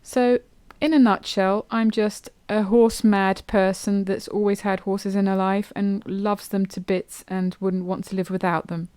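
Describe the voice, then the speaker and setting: A woman's voice: medium pitch, measured pace, few pauses, clean tone, no room sound. Chatty woman hosting a podcast. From England. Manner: chatty and relaxed, with frequent ums and loose restarts. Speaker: podcast host. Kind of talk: casual solo podcast monologue.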